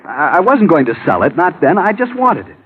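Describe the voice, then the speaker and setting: An actor playing an outwardly polite, nervous schemer in an old radio crime drama, earnest and defensive. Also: male voice, fairly high pitch, very animated, brisk. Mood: anxious confession. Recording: tinny, hissy old radio recording.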